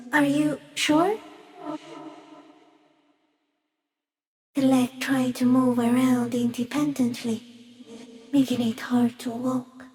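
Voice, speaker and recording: A woman voicing an ancient, shy giant stick insect in a video game, gentle and solemn, speaking poetically with hushed, eerie wonder. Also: sits high. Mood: gentle, matter-of-fact. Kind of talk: slow poetic game monologue.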